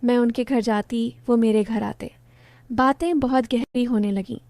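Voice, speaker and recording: A woman narrating a first-person story in a steady storytelling tone. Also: on the high side, unhurried, dry recording, studio quality.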